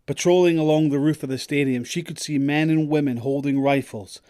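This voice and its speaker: A man's voice: fairly high pitch, steady tone, a little fast, warm tone, smooth, no echo, studio quality. A man narrating a scripted audio drama story.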